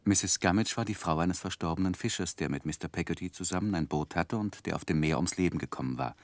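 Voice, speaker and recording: A grown man looking back on his life as narrator of a 1960s literary radio play. His voice is low and sits in a dry studio sound. He speaks in a measured, reflective way with careful articulation and quiet feeling. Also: dry recording. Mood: calm, narrating.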